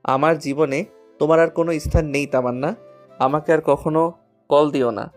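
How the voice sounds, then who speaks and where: A woman's voice: sits low, steady tone, moderate pace, darkish tone, faint music behind, close to an everyday voice. A woman voicing a bitter, heartbroken young man in an audio love story, grave and wounded in manner. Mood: cold, bitter.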